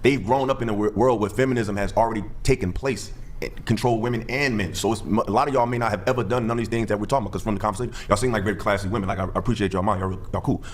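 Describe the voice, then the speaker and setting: Man, 30s, low register, rapid delivery, panel talk. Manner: fast, animated storytelling with acted-out quotes. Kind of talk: podcast panel discussion.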